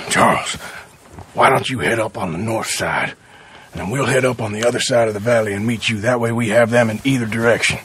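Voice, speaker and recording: An actor playing a sardonic, gruff American outlaw enforcer with a husky voice in a Western game. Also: male voice, on the low side, fairly bright tone, slight background noise, close to an everyday voice. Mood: grim, decisive. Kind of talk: dramatic game cutscene acting.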